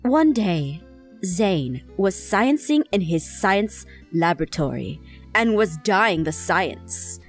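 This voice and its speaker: Playful female host for comic story reading; expressive, deliberate pacing, theatrical and teasing. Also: fairly low pitch, soft background music. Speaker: host and narrator. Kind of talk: playful storybook-style narration of fan fiction with banter.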